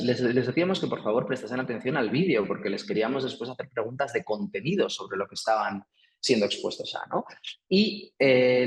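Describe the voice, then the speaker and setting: A man's voice: medium pitch, poor audio. A man with a Peninsular Spanish accent giving an academic lecture, explaining patiently with frequent "eh" fillers and "¿sí?" check-ins. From Spain. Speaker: guest lecturer.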